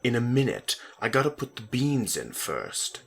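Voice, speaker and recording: A man reading the storybook lines of a quiet, mild-mannered teenage boy. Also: mid-range voice, wildly swooping pitch, normal speed, slightly rough, exaggerated voice. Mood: flat, casual. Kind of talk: storybook dialogue in a dramatic reading.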